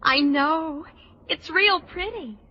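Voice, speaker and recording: Very high, coy teenage girl. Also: slow, no echo. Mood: coy, smitten. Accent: American. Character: sweet, coy, smitten teenage schoolgirl. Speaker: actress. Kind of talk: old-time radio sitcom acting. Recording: muffled vintage radio recording.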